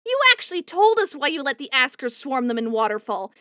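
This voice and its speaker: A woman's voice: high-pitched, very animated, fairly quick, pushed and bright, no room sound, clean studio sound, heavily acted. A woman voicing a protective, easily angered spider-woman foster mother with a scolding manner in a comic dub. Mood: stern pleading. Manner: indignant, scolding, slips into Spanish.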